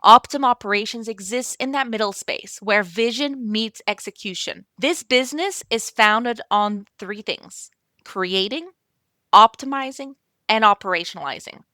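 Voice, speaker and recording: A middle-aged woman, originally from Belgium, hosts a calm podcast with a bright, clear voice pitched a little high. She talks gently and encouragingly, addressing the listener directly. The close mic gives a dry, intimate sound with no room around it. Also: measured pace, dry recording. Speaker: podcast host and operations consultant. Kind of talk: calm scripted podcast monologue.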